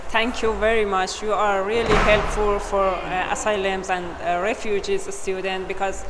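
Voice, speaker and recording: A woman interviewing, polite and halting, in non-native English full of uh fillers. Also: mid-range voice, flat delivery, long reverb tail. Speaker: student interviewer. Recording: noticeable background noise.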